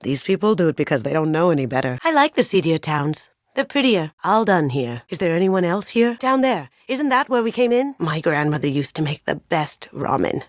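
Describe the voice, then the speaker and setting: A woman's voice: sits low, big pitch swings, normal speed, hardly any pauses, no echo, studio quality. A young woman acting as a sly, playful master thief in a sci-fi video game, with a wry, coolly confident way of talking. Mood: wry, relaxed.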